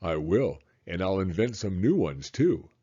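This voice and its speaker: A man voicing a gentle father in a storybook, speaking calmly and tenderly to reassure his young son. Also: low voice, measured pace, breathy edge, lo-fi sound, pitched below the performer's own voice, full character voice.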